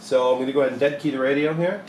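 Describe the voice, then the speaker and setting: A young man with a mid-pitched voice gives a practical, informal tutorial. He explains each step in plain words, like a technician at the bench. The small workshop sounds echoey, with noise in the background. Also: fairly even intonation, rapid-fire, darkish tone. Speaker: repair technician demonstrating a modification. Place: small cluttered electronics repair workshop, indoors.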